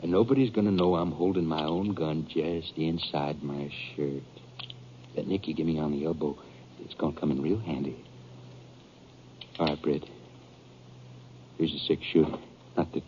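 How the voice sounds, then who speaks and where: A man's voice: a bass voice, steady tone, moderate pace, pauses often. A man playing a cunning, desperate American outlaw with rustic speech in a 1950s radio western. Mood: scheming. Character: cunning, desperate young outlaw, a gunfighter's treacherous friend. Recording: muffled, hissy 1950s radio recording.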